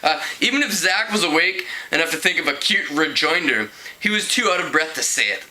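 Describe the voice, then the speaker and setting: A man reading a storybook aloud as an amateur narrator, expressive and mock-dramatic. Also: on the high side, fairly quick, poor audio. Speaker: amateur book reader.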